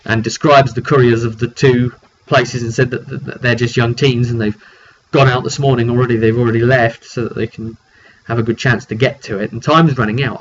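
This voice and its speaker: A man casually telling the story of a tabletop roleplay session as game master, in an animated way with repetitions and slang. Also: mid-range voice. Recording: dull lo-fi mic with background noise.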